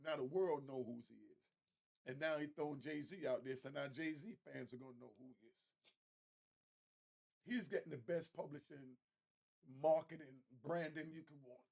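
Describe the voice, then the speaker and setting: A man's voice: fairly high pitch, moderate pace, creaky, a little mellow. A man giving informal, emphatic reaction commentary as a show host, often repeating phrases for effect.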